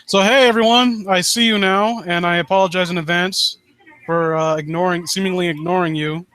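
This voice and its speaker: A man hosting a casual live stream, talking in a halting, rambling way with joking, foul-mouthed asides. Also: high-pitched, steady tone, a bit bright, clean tone, no echo, low-quality recording. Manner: rambling, halting, self-interrupting. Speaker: livestream host.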